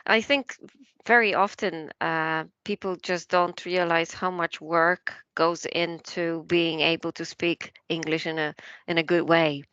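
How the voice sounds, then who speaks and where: A woman's voice: low-pitched, fairly even intonation, measured pace, fairly bright tone, clean tone, no echo, muffled recording. A woman language coach, Polish by first language, chatting in a podcast interview, with frequent fillers, restarts and laughs.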